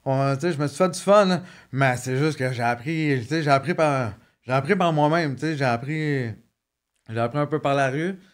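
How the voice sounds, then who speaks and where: A man's voice: mid-range voice, moderate pace. A man with a Québécois French accent, telling his life story in a casual interview, joking and rambling with lots of repeated words. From Quebec. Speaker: guest.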